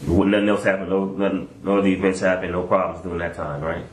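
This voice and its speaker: A man's voice: low voice, level tone, quick pace. An American man working as a police interrogator, asking colloquial, probing questions in an informal way. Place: indoor police interview room. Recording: echoey, noisy interrogation room audio.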